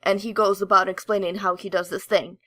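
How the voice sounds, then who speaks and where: A woman's voice: medium pitch, flat delivery, fairly quick, a bit bright, no echo. A woman co-hosting a podcast, explaining and recommending things in casual, enthusiastic, emphatic talk. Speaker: podcast co-host. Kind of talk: casual chat, enthusiastic review.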